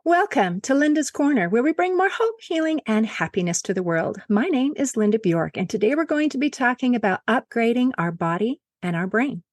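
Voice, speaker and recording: A woman's voice: on the high side, big pitch swings, brisk, hardly any pauses. A woman hosting a podcast, reading a scripted introduction and then asking questions in an enthusiastic, upbeat way. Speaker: podcast host.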